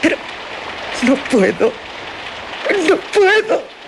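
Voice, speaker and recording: A haunted young woman, slow-spoken. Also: sits high, big pitch swings, creaky, noisy background, full character voice. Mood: anguished, fearful. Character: haunted, anguished young noblewoman, fearful and fragile. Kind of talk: theatrical radio drama acting.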